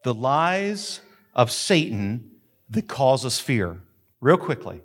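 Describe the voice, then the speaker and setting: A man in his fifties preaching a sermon as pastor from a church platform, animated and breaking into shouts and laughs. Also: mid-range voice, slow. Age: 50s.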